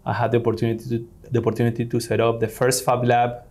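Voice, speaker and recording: A man's voice: medium pitch, monotone, fast talker, a little mellow. A man from Venezuela, a guest in an interview, talking with many fillers and restarts. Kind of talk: conversational interview.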